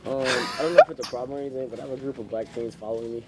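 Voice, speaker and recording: A young man speaks casually in a mid-range voice. His delivery is fast. The location recording is clearly noisy. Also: mid-range voice, fairly even intonation. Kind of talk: casual chat.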